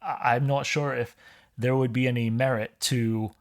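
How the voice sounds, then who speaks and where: A man from Hong Kong, a podcast guest in casual conversation, explaining things in a measured way. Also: mid-range voice, fairly even intonation.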